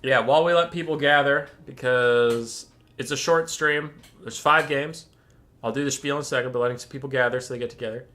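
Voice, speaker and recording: A man hosting a livestream with a bright, forceful, slightly tense voice that sits at an ordinary male pitch. He chats casually and rambles in a wry, self-mocking way, dropping swear words offhand before casting games. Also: steady tone, brisk. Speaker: stream host and tournament caster. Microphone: desk mic, camera off.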